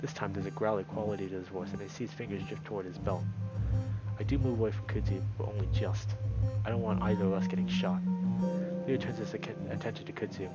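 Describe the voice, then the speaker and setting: A man reading a visual novel aloud as a let's-play host, in an expressive, dramatic storytelling style. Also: fairly low pitch, quick pace, poor audio. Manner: dramatic, with loud reactions. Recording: music behind the voice. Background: game music.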